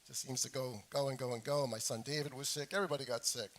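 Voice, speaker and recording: A middle-aged man preaching a sermon as a pastor, hoarse from a cold, speaking deliberately and repeating phrases for emphasis. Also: medium pitch, fairly even intonation, a bit bright. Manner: frequent throat clearing. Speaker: pastor preaching to his congregation. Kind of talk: sermon with scripture reading.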